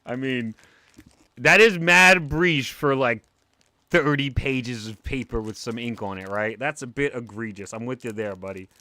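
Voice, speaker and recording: A young man with a New York accent, chatting excitably on a livestream while opening comic packs, with a cartoonish way of talking. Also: medium pitch, measured pace, dry recording. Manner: excitable, animated, talks like a cartoon character. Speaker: comic collector streamer. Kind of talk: casual livestream chat.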